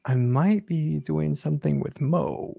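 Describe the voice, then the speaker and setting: A man's voice: on the high side, a little slow, gravelly, muffled recording. A man appearing as a guest on a live stream, chatting casually. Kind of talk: casual chat.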